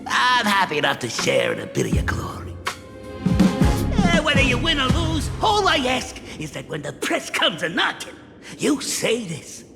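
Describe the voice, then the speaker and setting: An actor voicing an eccentric, wily crime syndicate boss in a video game, theatrical and boastful, with a creaky voice and drawn-out interjections. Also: medium pitch, wildly swooping pitch. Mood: magnanimous, sly. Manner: theatrical, chuckling, drawling interjections like gee and eh. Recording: long reverb, game music behind. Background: game background music.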